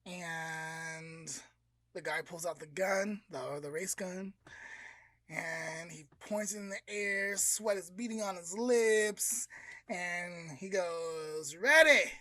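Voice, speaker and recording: A woman's voice: fairly low pitch, slow pace, fairly bright tone, dry recording. A woman podcast co-host retelling a story in an informal, dramatic, conversational way and acting out the dialogue. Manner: conversational, dramatic, uses slangy quotes.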